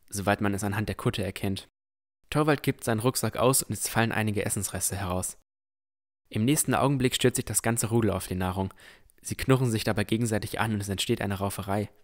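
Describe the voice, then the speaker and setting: A man playing a dreamy, curious young villager who narrates a fantasy audio drama in measured tones. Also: fairly low pitch, close to an everyday voice. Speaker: narrator and lead character.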